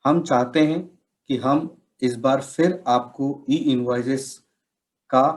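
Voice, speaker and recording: A man with a medium-pitched voice presents a scripted training session. He speaks slowly and explains in measured phrases, setting out each point in turn. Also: a little mellow. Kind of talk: scripted instructional presentation with slides.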